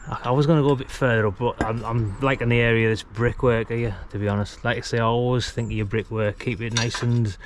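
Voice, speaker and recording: A man's voice: medium pitch, steady tone, quick pace, few pauses. A middle-aged man chatting casually and rambling as he thinks aloud through a DIY job outdoors. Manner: rambling, laid-back, colloquial, thinking aloud. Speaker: amateur radio hobbyist filming a DIY video. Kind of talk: casual chat while demonstrating DIY. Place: outdoors beside a brick house wall. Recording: noisy outdoor recording with ambient traffic.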